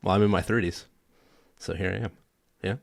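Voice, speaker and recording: Low-voiced man in his thirties, chatty. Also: fairly even intonation, a little fast, creaky, no room sound, studio quality. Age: 30s. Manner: enthusiastic, rambling with many restarts and laughs. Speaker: podcast co-host. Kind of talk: casual chat.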